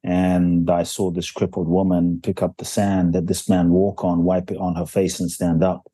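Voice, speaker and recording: A man's voice: sits low, level tone, moderate pace, warm tone, husky, dry recording. A man from Ghana who grew up in London, a spiritual teacher telling his life story earnestly and reflectively in a podcast interview. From Ghana, raised in London. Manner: earnest and reflective, builds stories with repetition. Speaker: guest, spiritual teacher and author.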